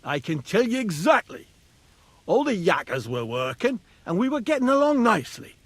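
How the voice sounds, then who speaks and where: An actor playing a grumbling, chatty miner, earthy dialect and a rough, husky voice in a video game. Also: male voice, high voice, big pitch swings, moderate pace, dry recording. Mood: proudly recalling. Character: grumbling working miner, exasperated but chatty. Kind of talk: video game dialogue acting.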